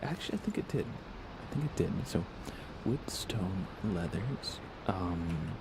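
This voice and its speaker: Soft-spoken male ASMR game streamer, deep and crackly, murmuring unhurriedly close to the mic over audible background noise. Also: animated. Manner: gentle, unhurried, thinking aloud. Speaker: live streamer, host.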